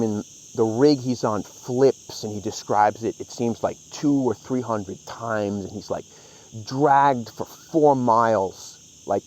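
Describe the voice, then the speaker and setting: A man's voice: medium pitch, a little mellow, low-quality recording. A man giving a casual, opinionated book review, talking in a hesitant, conversational way as he thinks aloud.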